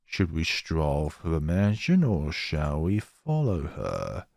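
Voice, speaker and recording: A man reading a visual novel aloud in a theatrical, expressive way and adding his own playthrough commentary. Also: a bass voice, takes it slowly, gravelly, no room sound, clean studio sound.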